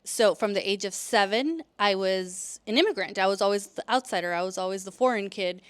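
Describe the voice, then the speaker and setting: Chatty woman vlogger with a smooth voice. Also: mid-range voice, fairly quick. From El Salvador, raised in California. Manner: chatty, frequent fillers and restarts. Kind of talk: casual talking-to-camera vlog.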